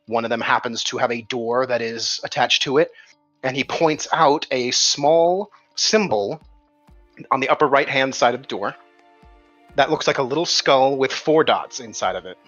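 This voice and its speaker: Bright-voiced man running a tabletop game. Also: medium pitch, moderate pace, highs cut off, soft background music. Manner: thinks aloud, rephrasing as he describes. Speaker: game master. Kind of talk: improvised tabletop game narration.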